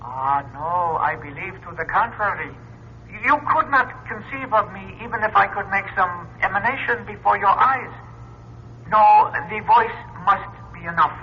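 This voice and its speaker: An imperious alien being in an old radio play. His voice is high, and he speaks with formal, pedantic courtesy. The recording is dull, with faint noise behind it. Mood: calmly imperious. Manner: formal, measured, pedantic diction. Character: courteous, imperious disembodied male alien from a distant galaxy. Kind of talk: vintage radio drama acting.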